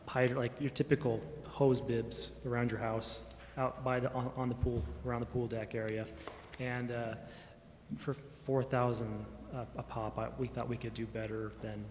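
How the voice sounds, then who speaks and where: A man's voice: mid-range voice, level tone, normal speed. A man giving an informal explanation at a public meeting as a staff member, hesitant and thinking aloud. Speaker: town staff member answering council questions. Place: indoor council chamber. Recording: echoey meeting chamber, faint background noise.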